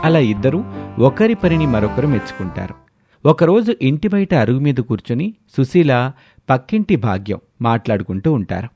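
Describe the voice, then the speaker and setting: Mellow-voiced man narrating a story. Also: medium pitch, sing-song, moderate pace, faint music behind. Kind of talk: scripted moral-story narration.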